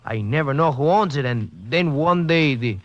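A man's voice: fairly high pitch, animated, normal speed, a bit bright, dry recording. Dull, band-limited vintage radio sound carries a nervous, evasive Mexican villager. His accent is Mexican, his English broken and stammering. He plays it as 1950s radio drama, shifty and poor. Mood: anxious confessing. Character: evasive, nervous Mexican man living in a poor river hut.

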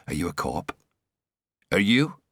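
A man's voice: low-pitched, sing-song, measured pace, a bit bright, no room sound, studio quality, heavily acted. A man voicing a rattled undercover policeman held captive in an audiobook thriller, anxious, defensive and urgent. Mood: bewildered.

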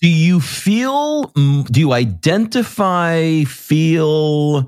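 A man hosts a podcast interview with eager, chatty enthusiasm. His mid-pitched voice swings freely up and down as he talks. The sound is close and dry, with no room around it. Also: sing-song, very slow, darkish tone, no echo. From Queens, New York. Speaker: podcast host. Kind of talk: casual interview chat.

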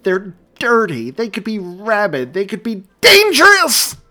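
A man voicing a young woman in labor, panicked and frustrated, with a shrill, panicky delivery. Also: steady tone, normal speed, a bit bright, husky, faint music behind.

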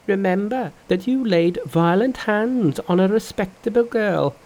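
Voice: animated, measured pace. A brash, mocking monkey king. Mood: scornful. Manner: high, loud and mocking. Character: boastful male monkey king, brash and fearless.

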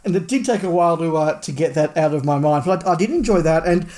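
A man's voice: on the high side, animated, fast, a little mellow. A man co-hosting a podcast in a casual fan discussion, rambling and thinking aloud. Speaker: podcast co-host.

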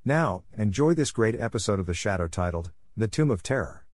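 A man's voice: fairly low pitch, expressive, a little fast, a little mellow, dry recording. A man reading a scripted introduction as a podcast host, recorded close to the mic.

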